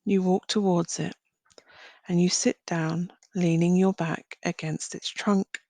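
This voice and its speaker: A woman leading a guided visualisation as a meditation guide, speaking gently in short phrases with long pauses. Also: fairly low pitch, steady tone, vocal fry, dry recording. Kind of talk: guided visualisation, slow soothing narration.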